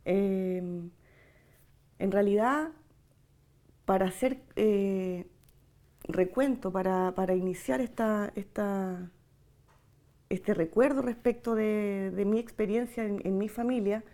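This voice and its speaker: A Chilean woman in her forties giving a personal oral-history testimony, reflective and hesitant, searching for words with long pauses. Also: mid-range voice, mellow. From Santiago, Chile. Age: 40s.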